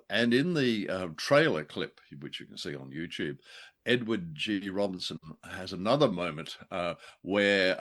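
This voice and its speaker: A man's voice: deep voice, a bit bright. A man co-hosting a casual review chat, talking in a wry, jokey way with wordy asides. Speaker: podcast co-host. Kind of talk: casual review chat about an old radio play.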